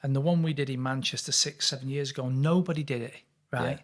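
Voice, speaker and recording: A man hosting a casual interview, informal and blunt, with quick asides. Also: medium pitch, fairly even intonation. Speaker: podcast host.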